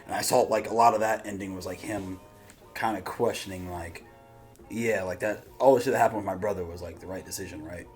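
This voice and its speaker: A man hosting a reaction channel in casual, slangy, excitable chat with some swearing. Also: deep voice, brisk. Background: background music.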